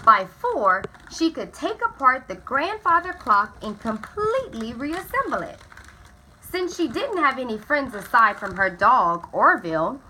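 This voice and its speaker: Bright, animated woman reading a storybook. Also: high voice, unhurried, slight background noise, low-quality recording. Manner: animated, dramatically stretching key words. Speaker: storybook reader. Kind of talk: expressive children's picture book read-aloud.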